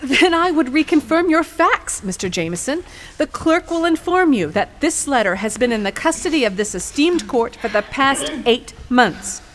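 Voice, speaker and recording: A woman playing a poised, dutiful attorney in a courtroom audio drama, speaking formally with precise, measured diction. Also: sits high, animated, slight background noise. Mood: firm, formal.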